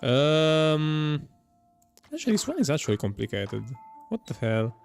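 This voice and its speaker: A man's voice: a little high, very animated, measured pace. A man giving casual, informal gameplay commentary, muttering reactions and exclamations as he plays. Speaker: gamer commenting on his playthrough. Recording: game music behind the voice.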